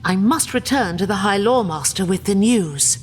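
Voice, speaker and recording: A woman's voice: medium pitch, expressive, normal speed, lightly acted. An actress playing a resolute young female elf warrior in a fantasy video game, speaking in a solemn, formal way. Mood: grave.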